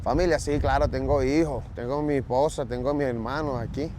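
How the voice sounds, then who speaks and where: A man from Venezuela with a Venezuelan Spanish accent, answering street interview questions in a relaxed, matter-of-fact way outdoors. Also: mid-range voice, a little fast. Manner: relaxed, matter-of-fact, speaks of God's will. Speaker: interviewee, job seeker. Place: outdoors at a store parking lot. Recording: outdoor street noise, traffic.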